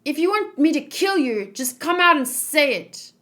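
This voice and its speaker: A woman's voice: sits high, lively intonation, normal speed, a bit bright, voice pushed up. Woman doing an angry, incredulous young soldier boy. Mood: furious. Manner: incredulous, confrontational.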